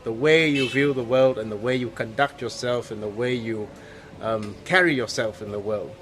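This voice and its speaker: A man from Penang, Malaysia, giving an earnest opinion monologue as host of his video channel, often pausing with fillers. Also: mid-range voice, fairly bright tone, a little hiss or hum. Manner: earnest, hesitant with frequent fillers.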